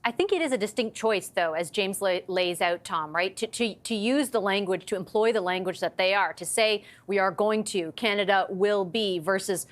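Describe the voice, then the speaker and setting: A woman's voice: mid-range voice, normal speed, hardly any pauses. A woman hosting a political panel, speaking conversationally as she moderates the discussion. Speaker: host.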